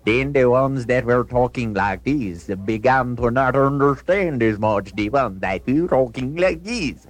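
A man's voice: mid-range voice, animated, measured pace, hardly any pauses, lightly acted. A man playing a rambling storyteller in a comedy piece, repeating himself with muddled, mangled grammar. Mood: muddled, comic. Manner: repetitive, garbled grammar. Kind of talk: comic storytelling.

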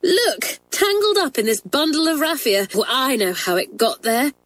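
A woman voicing a lively schoolgirl in a storybook, exclaiming in old-fashioned slang. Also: sits high, normal speed, no room sound, voice pushed up, exaggerated voice. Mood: surprised, excited.

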